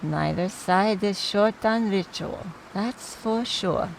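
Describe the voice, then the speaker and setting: A woman acting in an audio drama as a wry, skeptical mourner, talking in a measured, conversational way over background noise. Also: mid-range voice, creaky, poor audio. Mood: explaining, wry.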